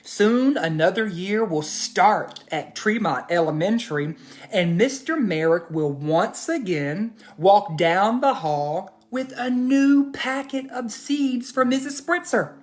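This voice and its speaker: Very slow-paced man reading to children. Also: high voice. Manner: expressive, addressing children directly with questions. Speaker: educator reading aloud to children. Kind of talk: children's storybook read-aloud and lesson.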